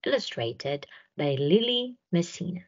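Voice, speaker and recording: Slow, animated woman reading a picture book. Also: on the low side, highs cut off. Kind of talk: expressive children's read-aloud narration.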